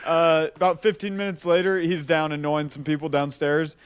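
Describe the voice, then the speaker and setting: A man's voice: fairly high pitch, little pitch movement, normal speed, clean tone, dry recording. A man co-hosting a podcast, telling a story casually and animatedly, acting out the dialogue as he goes. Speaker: podcast co-host.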